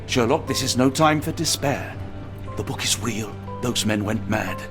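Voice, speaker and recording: An actor playing a loyal, steadfast companion in a video game, speaking earnestly and formally with a British accent. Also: male voice, medium pitch, moderate pace, lightly acted. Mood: reassuring. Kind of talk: dramatic video game voice acting. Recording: music behind the voice. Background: game music.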